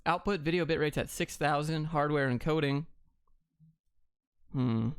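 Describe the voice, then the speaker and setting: A man's voice: on the high side, a little slow, clean tone, no room sound, studio quality. An American man hosting a casual livestream, reading out questions and answering them in a blunt, clipped, offhand way. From Atlanta, Georgia, United States. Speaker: livestream host.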